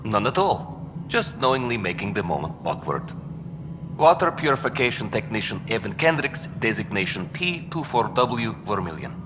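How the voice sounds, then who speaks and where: A dry-witted, reflective sci-fi officer. His voice is low, deliberate and deadpan, yet moves widely in pitch. Clear noise runs behind him. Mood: dry, formal. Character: dry-witted, reflective male cryo bay officer, former teacher. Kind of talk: video game dialogue.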